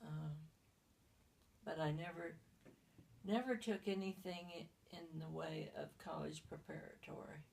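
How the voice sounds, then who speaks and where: A woman in her seventies answering interview questions hesitantly, with halting restarts. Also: low-pitched, steady tone, gravelly, a little mellow, slight background noise. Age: 70s. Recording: distant mic.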